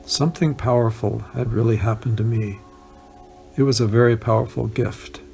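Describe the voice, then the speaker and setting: A man's voice: medium pitch, fairly even intonation, moderate pace, vocal fry, warm tone, faint music behind. A man reading first-person recollections aloud as a narrator. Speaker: reader of written testimonials.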